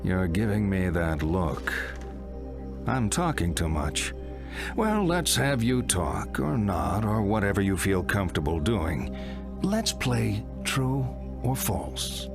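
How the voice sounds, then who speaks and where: An actor playing a middle-aged psychotherapist, deliberate, probing and slightly theatrical, in a video game monologue. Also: male voice, fairly low pitch, sing-song, creaky, exaggerated voice. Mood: coaxing, probing. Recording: loud music under the voice.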